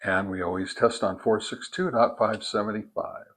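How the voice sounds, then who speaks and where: A man giving an informal product test and demonstration, explaining methodically in a halting way. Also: on the low side, steady tone, a bit bright, husky. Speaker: product reviewer, host.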